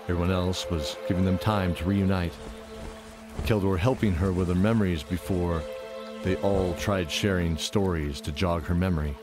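A man narrating a scripted fantasy drama in a measured, deliberate, dramatic way. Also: deep voice, steady tone, over music.

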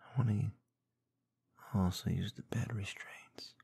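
A man performing intimate ASMR roleplay in a soft, breathy, gravelly murmur close to the microphone, with a teasing manner. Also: on the low side, fairly even intonation, dry recording. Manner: soft, teasing, slow murmuring with small chuckles and hums. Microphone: close binaural microphone.

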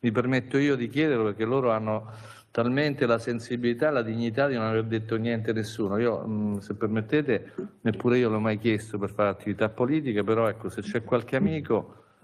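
A man, a regional official, speaking off the cuff at a press conference, rambling with many restarts and asides. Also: lowish voice. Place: large conference hall with an audience.

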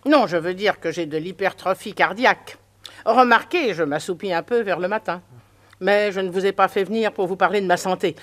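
A woman's voice: mid-range voice, a little fast, fairly bright tone, lightly acted. A woman playing a prudish, scolding old spinster neighbor in a French radio drama. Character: prim nosy old spinster, moralizing neighbor.

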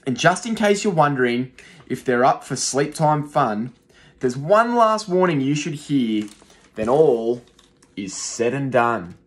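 A young male teacher reading a rhyming picture book aloud to young children, in a rhythmic, expressive way, seated in a small room and recorded on a phone close in front. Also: medium pitch, unhurried. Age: young adult.

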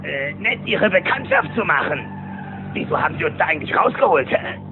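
An actor playing a brash, dim-witted robber gang crook in a cartoon audio drama, coarse and excitable. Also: male voice, fairly high pitch, brisk, noisy background. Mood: gruff, impatient. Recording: tinny echoey old tape, loud music.

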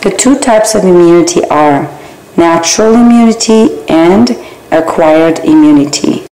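Gravelly low-voiced woman reading slides. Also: echoey room, poor audio. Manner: steady, measured slide reading with small grammar slips. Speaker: barbering theory instructor. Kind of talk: reading exam slides aloud.

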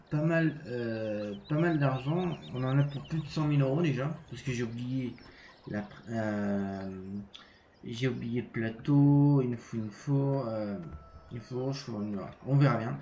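A French man walks through a game in a casual, halting way, with a stuffy nose from a cold and many pauses and restarts. His voice is mellow and dark. The home mic sounds a bit roomy and dull, with faint noise behind. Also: medium pitch. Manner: hesitant, many fillers and restarts. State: has a cold. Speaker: gaming channel host. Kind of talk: casual game walkthrough commentary.

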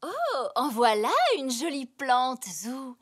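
A woman voicing a gracious grown-up lady zebra in a French children's cartoon, polite and expressive. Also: high-pitched, takes it slowly, slightly rough, exaggerated voice.

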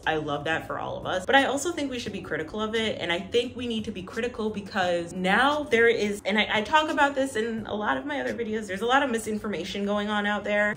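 A woman in her late twenties talking casually and candidly to camera as a vlogger, with colloquial, slangy phrasing. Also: low-pitched. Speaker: host of a personal vlog channel. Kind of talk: casual opinion chat to camera. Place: room at home, indoors by a door.

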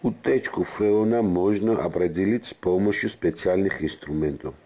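Deep, dark-voiced man narrating a tutorial. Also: normal speed. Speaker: car repair tutorial presenter. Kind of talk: instructional voice-over narration.

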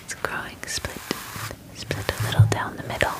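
A woman in her twenties whispers softly and gently into a close microphone, in a soothing ASMR personal-attention roleplay as a spa groomer. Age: 20s. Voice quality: soft, breathy whisper. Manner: gentle, slow, soothing murmuring. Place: small dim room, indoors.

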